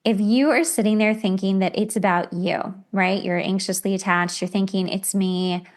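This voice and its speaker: A woman's voice: medium pitch, steady tone, normal speed. A woman hosting a podcast, explaining self-help ideas in a casual, conversational way with imagined everyday examples. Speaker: podcast host.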